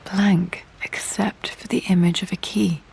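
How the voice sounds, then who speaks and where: An actress playing a thoughtful young British woman explorer in a video game, musing softly to herself. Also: lowish voice, flat delivery, measured pace, creaky, breathy edge, heavily acted, low-quality recording. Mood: quiet, curious. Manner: soft, hushed, reflective.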